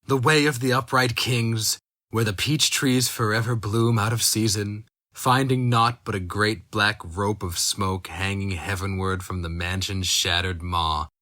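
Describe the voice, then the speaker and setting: Slow, brooding man reading dark fantasy. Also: fairly low pitch, steady tone. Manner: slow, brooding, intense delivery. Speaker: narrator.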